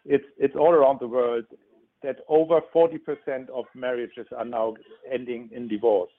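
A German-accented man in his sixties talks earnestly as an interview guest, repeating his first words before each point. His voice comes through thin and narrow, like a phone call. His pitch sits in the middle. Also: fairly even intonation, moderate pace. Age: 60s. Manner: earnest, explanatory, frequent stutter-restarts. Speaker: relationship expert guest. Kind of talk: interview answers.

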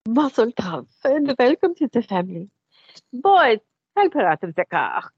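A woman playing a warm, welcoming middle-aged aunt who talks in a gentle, teasing way. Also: on the high side, very animated, a little slow, slightly rough, dry recording, highs cut off, heavily acted.

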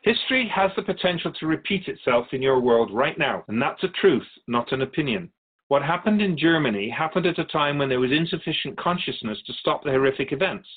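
A man's voice: medium pitch, phone-quality sound, lightly acted. A man playing a wise otherworldly keeper of souls in a radio drama, courteous, philosophical and wry as he tells stories. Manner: measured, philosophical, gently challenging. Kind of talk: radio drama dialogue, storytelling.

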